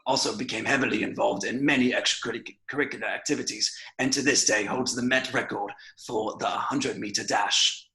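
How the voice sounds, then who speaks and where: A man reading a formal service record in a measured, official voice-over. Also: medium pitch, steady tone, bright, forceful tone, poor audio. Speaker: voice-over reader.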